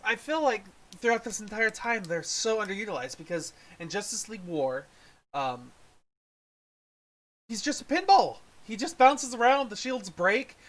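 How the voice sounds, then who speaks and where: A casual co-host with a medium-pitched voice, chatting through a review. The delivery is halting, with thoughts reworked along the way. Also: fairly bright tone. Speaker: podcast co-host reviewing animated films.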